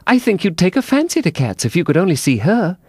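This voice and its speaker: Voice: mid-range voice, sing-song, fast, slightly rough, no echo, studio quality, voice pushed up. A narrator voicing a polite, chatty little girl in a storybook, with a gushing, earnest manner. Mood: fond, dreamy.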